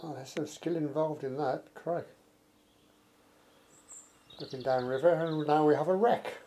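A man's voice: a little high, a little slow, mellow, slight background noise. A man narrating a walk outdoors, chatty and admiring, with wry asides. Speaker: walking vlogger narrating. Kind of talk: casual walking commentary. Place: outdoors by a rural estuary and canal.

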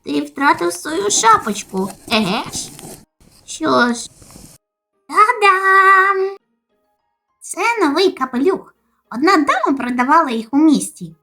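Woman voicing a high, bright, excitable little skeleton boy. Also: takes it slowly, long pauses, faint music behind, exaggerated voice. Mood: excited. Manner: excitable, childlike. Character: cheerful boastful little skeleton boy, the younger brother. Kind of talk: comic dub, cartoon voice acting.

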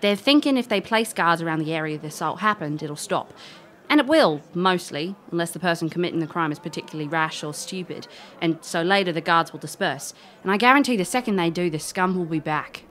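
Woman acting a cocky lady detective, lowish voice, wry and casual, audio drama. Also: brisk, fairly bright tone, lightly acted. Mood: assured. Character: cocky, wry female private investigator.

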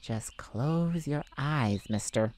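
A woman voicing a sweet, caring boyfriend in an intimate ASMR roleplay, tender, gently teasing and coaxing. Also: very deep voice, lively intonation, slow pace, full character voice. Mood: soothing, tender. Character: sweet, caring young boyfriend comforting his partner.

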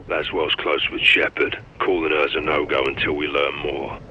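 Very deep, deadpan army lieutenant. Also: male voice, normal speed, slightly rough, dull audio. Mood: guarded. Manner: terse, deadpan. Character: stern, dry-humoured male special forces lieutenant. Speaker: military officer character. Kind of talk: video game radio dialogue.

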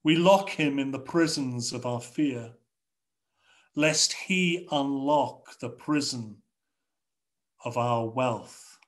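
A middle-aged man with an English accent preaching a sermon from a home study over a video call, in a measured, rhetorical way with repeated phrases. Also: medium pitch. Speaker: pastor. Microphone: video call webcam.

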